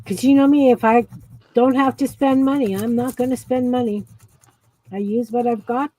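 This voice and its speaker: A woman's voice: a little high, fairly even intonation, warm tone, low-quality recording. Chatty Canadian woman hosting a craft stream. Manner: chatty, rambling, quick laughs. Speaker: livestream host. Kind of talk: casual craft chat.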